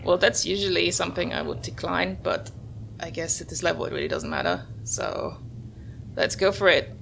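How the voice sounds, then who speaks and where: Creaky-voiced woman doing gameplay commentary. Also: on the low side, wildly swooping pitch, normal speed, a little hiss or hum. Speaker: gamer, host of the video series. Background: soft game music.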